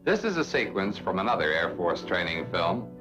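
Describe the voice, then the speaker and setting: Casting: American male narrator for a 1960s training film, lowish voice, emphatic direct address, lively inflection. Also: moderate pace, music in the background. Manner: direct address to the listener, rhetorical questions, emphatic. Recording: dull, noisy old film soundtrack with hum.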